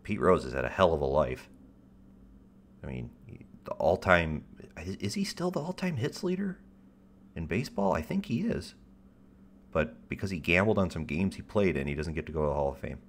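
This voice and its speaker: Very deep-voiced rambling male streamer. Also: animated, normal speed, a little hiss or hum. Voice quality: deep radio-style voice. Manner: rambling, stop-start, self-deprecating; wry, swears casually. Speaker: livestream host. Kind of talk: casual livestream chat.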